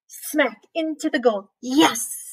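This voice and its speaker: A woman with a high voice reads a picture book to young children like a teacher at story time. She goes slowly and puts emphasis on the sound words. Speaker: read-aloud storyteller, teacher.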